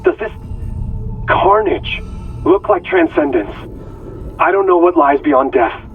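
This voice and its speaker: A man's voice: on the high side, lively intonation, takes it slowly, soft background music. An actor playing a haunted, earnest male scientist in a sci-fi horror game, fervent and troubled. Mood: pleading, solemn. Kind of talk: video game voice acting. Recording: muffled radio transmission.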